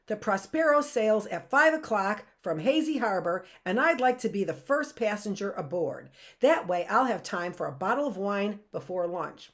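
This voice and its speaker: A woman's voice: mid-range voice, animated, moderate pace, few pauses, close to an everyday voice. A woman reading aloud, voicing a scheming, menacing man who mocks and snarls at children. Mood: smug. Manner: snarling, mocking.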